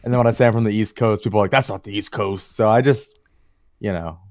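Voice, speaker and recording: A man's voice: on the low side, fairly even intonation, quick pace, no echo, studio quality. A man from Virginia co-hosting a livestream, easygoing and conversational. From Virginia, USA. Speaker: livestream co-host. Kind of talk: casual chat.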